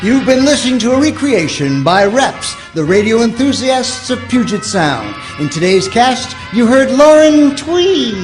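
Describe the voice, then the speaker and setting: A man performs a polished old-time radio announcer, pitched high with showy, swinging intonation. Music plays clearly behind the scripted pitch. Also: sing-song, unhurried, few pauses, full character voice. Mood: formal sign-off. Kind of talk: 1940s radio advertising and sign-off. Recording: prominent music under the voice.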